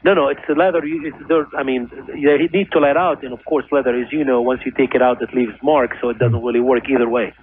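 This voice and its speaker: A man's voice: medium pitch, fairly even intonation, quick pace, hardly any pauses, slightly rough. A middle-aged man calling a phone-in show, telling his story in an earnest, insistent way with non-native phrasing. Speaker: caller, clothing store owner. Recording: tinny phone line with hiss.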